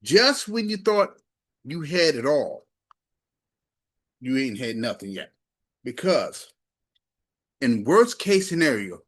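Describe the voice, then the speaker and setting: A man's voice: medium pitch, fairly bright tone, no room sound, clean studio sound. A man reviewing a gadget casually and hands-on, talking himself through each step in a folksy, emphatic way. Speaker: product reviewer.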